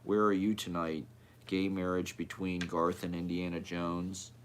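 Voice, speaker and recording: Low, flat-voiced man reading poetry. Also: measured pace, slight background noise. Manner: short clipped phrases, one fragment at a time. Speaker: poet.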